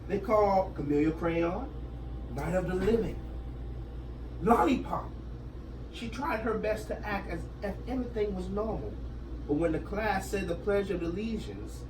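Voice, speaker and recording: A woman teacher doing an expressive, interactive storybook read-aloud, echoing answers back as questions. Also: mid-range voice, normal speed. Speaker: teacher reading aloud to children. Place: echoey room. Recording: echoey room, distant mic, background noise.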